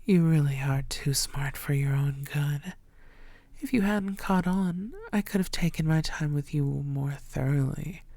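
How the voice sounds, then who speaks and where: Woman acting a slow, low-key predatory female vampire. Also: warm tone, dry recording. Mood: menacing, coaxing. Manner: teasing, breathy half-whispers, slow deliberate delivery. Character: possessive, flirtatious, menacing female vampire stalking prey. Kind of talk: intimate roleplay audio acting.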